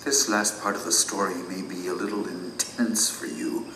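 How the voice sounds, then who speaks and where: An actor playing a calm, grave sage who tells a story in a measured, portentous manner in an audio drama. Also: male voice, deep voice, creaky, noisy background. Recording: echoey room, music underneath.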